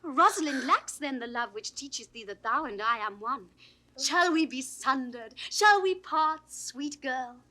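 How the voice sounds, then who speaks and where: Spirited young noblewoman, British accent, high-pitched, bright tone, impassioned, articulate, classical audio drama. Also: expressive, a little slow, full character voice. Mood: tender, urgent. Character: devoted, spirited young noblewoman, the duke's daughter. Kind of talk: 1960s Shakespearean audio drama acting.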